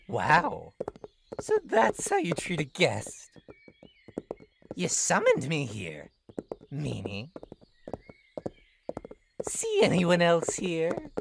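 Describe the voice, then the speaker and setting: A woman's voice: low-pitched, huge pitch swings, extremely slow, pauses often, noisy background, exaggerated voice. A woman voicing a playful, teasing and sinister clown-like jester demon in a horror visual novel game, with theatrical sing-song delivery. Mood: mocking, sulky. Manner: theatrical sing-song teasing, sudden swoops into breathy menace.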